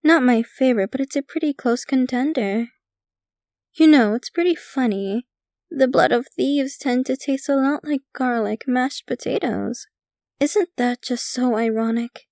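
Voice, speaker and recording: A woman acts out a possessive yandere vampire girlfriend in an ASMR roleplay. Her voice is on the high side with plenty of fry in it, and she takes her time, doting and sinister at once. The sound is close and very dry. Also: darkish tone, dry recording. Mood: amused, chatty. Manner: unhurried, doting yet possessive, casually sinister. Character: possessive centuries-old yandere vampire girlfriend, sweetly menacing. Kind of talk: ASMR roleplay, intimate scripted monologue to the listener.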